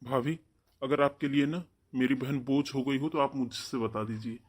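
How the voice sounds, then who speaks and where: A man's voice: mid-range voice, steady tone, moderate pace, close to an everyday voice. A man voicing a protective, defiant young brother, earnest and firm, in a melodramatic cartoon story.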